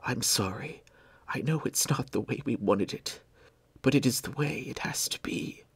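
A man voicing a dying young man who speaks in a weak, breathy whisper, tender and remorseful. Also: sits high, big pitch swings, moderate pace, no room sound, pitched above the performer's own voice, heavily acted. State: gasping, weak.

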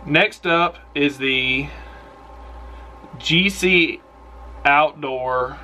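Young guy hosting an outdoors vlog; bright, forceful tone, loose and rambling, keen about his picks, close to the camera in a small room. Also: on the high side, drawn-out words, a little hiss or hum. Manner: relaxed, rambling, enthusiastic with trailing asides. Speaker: outdoors channel host. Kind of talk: casual product recommendation vlog.

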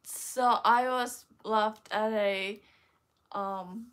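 A young woman from China, a guest on a livestream, speaking hesitantly with many pauses and fillers. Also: mid-range voice, fairly even intonation, a bit bright. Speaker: guest and chat moderator. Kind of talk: casual talk, reading chat comments. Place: living room with bookshelves, indoors.

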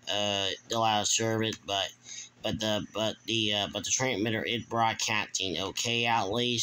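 A man giving a casual, halting, repetitive show-and-tell narration into a handheld phone in a room at home. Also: on the low side, bright, forceful tone, a little hiss or hum, rough recording. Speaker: video host.

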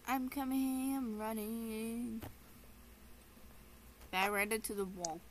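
A woman's voice: on the high side, steady tone, takes it slowly, slight background noise. A woman host talking casually in a game roleplay, chatty and rambling with plenty of ums. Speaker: channel host voicing her own roleplay character.

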